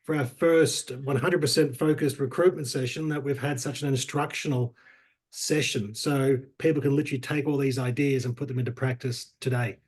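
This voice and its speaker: A man's voice: mid-range voice, steady tone, normal speed, a little mellow, breathy edge. A man hosting a webinar over a video call, talking loosely with many fillers and repeated words. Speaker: webinar host.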